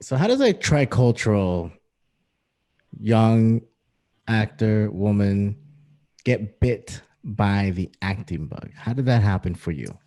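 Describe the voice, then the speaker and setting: A man's voice: on the low side, very animated, slow, dark tone. A man hosting an interview show, asking enthusiastic, rambling questions in casual conversation. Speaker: podcast host.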